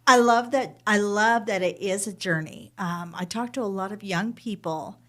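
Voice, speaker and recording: A woman's voice: medium pitch, moderate pace, sharp tone. A woman with a Canadian accent hosting a podcast, interviewing in a chatty, informal way. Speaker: podcast host.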